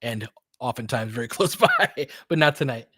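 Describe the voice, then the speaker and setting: A man's voice: mid-range voice, expressive, moderate pace, bright, forceful tone, husky, dry recording. An American man hosts a casual basketball play-by-play in a mid-range voice. He calls the action in short, clipped phrases and drifts into chatty, informal asides. The sound is dry, with very little room. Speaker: fan host doing play-by-play commentary. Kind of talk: casual live basketball commentary.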